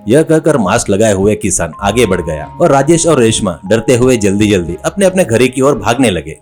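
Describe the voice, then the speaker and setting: Animated male storyteller over music. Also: on the low side, moderate pace. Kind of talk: scripted comedy story narration.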